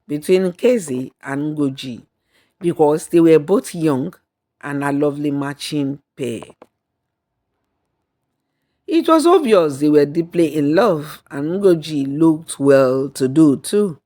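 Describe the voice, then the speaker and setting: Slow-paced woman telling a folktale. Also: low-pitched, darkish tone. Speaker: storyteller. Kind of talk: scripted folktale narration with acted dialogue and song.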